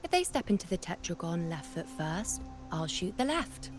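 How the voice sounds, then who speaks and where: A woman's voice: on the low side, big pitch swings, normal speed. A woman voicing a haughty, defiant young priestess in a video game, with an imperious and dramatic delivery. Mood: brash, impatient. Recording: clear music behind the voice.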